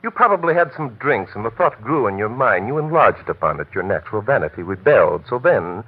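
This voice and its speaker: A tinny old radio recording carries a low, swooping voice. It is a shrewd detective, courteous and unruffled as he methodically presses his questions in classic mystery style. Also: sing-song, brisk. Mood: insistent. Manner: polite, methodical, smoothly insistent.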